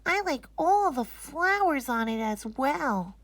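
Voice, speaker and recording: A woman voicing an excitable little girl full of wonder in a children's storybook, exclaiming and drawing out her words. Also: sits high, takes it slowly, dry recording, voice pushed up, exaggerated voice. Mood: wide-eyed wonder.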